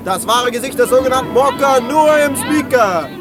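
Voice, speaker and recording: An actor playing an eager newspaper crier hawking papers in a radio play. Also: male voice, extremely high pitch, animated, normal speed. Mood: urgent, hawking. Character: loud, eager newspaper crier on a city street. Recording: distant, under loud music and crowd.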